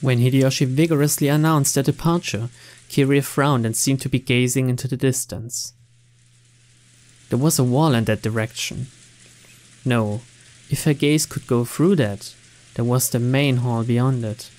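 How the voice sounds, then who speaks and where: A man reading visual novel text aloud in a steady, even narration. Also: medium pitch, normal speed. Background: game music.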